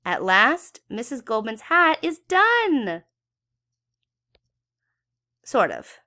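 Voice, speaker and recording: A woman's voice: on the high side, wildly swooping pitch, extremely slow, sharp tone. A woman reading a children's story aloud expressively, with dramatic emphasis for young listeners. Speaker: children's book read-aloud educator.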